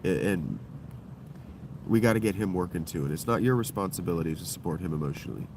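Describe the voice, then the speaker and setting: Deep-voiced man rambling on a livestream. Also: quick pace, noisy background. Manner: digressive, loose and profane, circling back on thoughts. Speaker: livestream host. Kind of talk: rambling solo livestream monologue.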